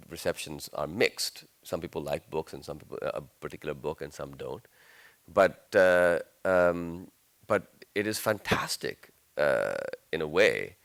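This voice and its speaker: A middle-aged man from Pakistan, whose first language was Urdu, talks through a stage microphone in a conversation before an audience. His voice sits very low. He rambles and corrects himself as he tells his stories. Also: fairly bright tone. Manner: rambling, self-correcting storyteller. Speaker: novelist guest. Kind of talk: onstage conversation.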